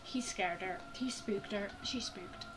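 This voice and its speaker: A woman voicing a blunt, protective, excitable companion in a game, outspoken in manner. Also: mid-range voice, unhurried, noisy background, natural voice. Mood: concerned. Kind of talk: scripted game voice acting.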